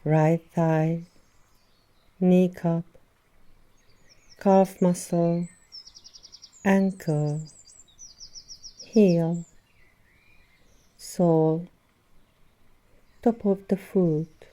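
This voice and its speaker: A woman leading a guided yoga nidra meditation as an instructor, speaking in a soothing, gentle manner with long pauses between phrases. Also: lowish voice, steady tone. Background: birdsong and nature sounds.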